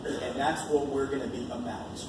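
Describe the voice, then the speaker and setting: A man's voice: medium pitch, level tone, moderate pace. An American man preaching an informal sermon in a colloquial, emphatic way, repeating phrases to drive them home. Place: large echoey room at a live church gathering. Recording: echoey room from far off, noisy.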